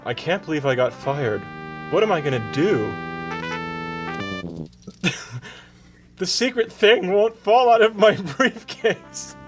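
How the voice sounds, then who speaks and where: A blustering middle-aged superhero dad from a puppet parody, put on by a man. His delivery is earnest and melodramatic, jumping widely in pitch. Music plays behind the voice. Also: lively intonation, slow, long pauses. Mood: despairing. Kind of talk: amateur puppet show parody.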